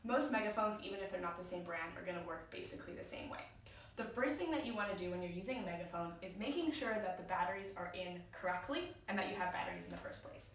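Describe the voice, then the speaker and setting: A woman's voice: on the low side, expressive, fast talker. A woman giving an informal, step-by-step how-to demonstration in a plain-spoken, instructive way. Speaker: instructor, activist. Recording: roomy echo, distant mic, background noise.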